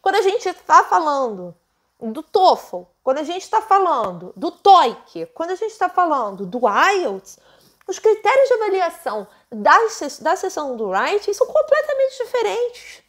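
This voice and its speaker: A Brazilian Portuguese woman teaching English test prep at home into her own microphone, lively and full of rhetorical questions. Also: very high voice, unhurried. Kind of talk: casual teaching monologue to camera.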